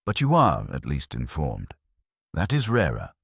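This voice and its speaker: A man playing a stout, older detective who speaks in precise, formal, measured sentences. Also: a bass voice, huge pitch swings, vocal fry, fairly bright tone, slightly rough, dry recording, studio quality, full character voice. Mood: dry lecturing.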